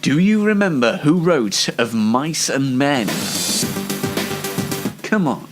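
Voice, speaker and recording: A man's voice: medium pitch, very animated, takes it slowly, music in the background. A man hosting an online variety show in a chatty, playfully theatrical, mock-dramatic way. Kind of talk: chatty scripted-style presenting with ad-libs.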